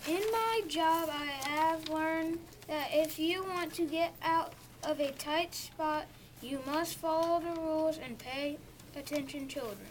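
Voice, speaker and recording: A young reader playing a stern, rule-bound male school principal in a readers theatre, reading slowly and deliberately. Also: sits high. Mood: stern, official. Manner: slow, deliberate child's reading aloud. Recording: noisy school room recording.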